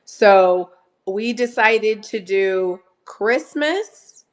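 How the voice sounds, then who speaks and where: Slow-talking, slightly hoarse woman host. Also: mid-range voice, expressive. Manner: chatty, greets viewers by name, laughs often. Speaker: live sale host. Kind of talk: casual live-stream chat.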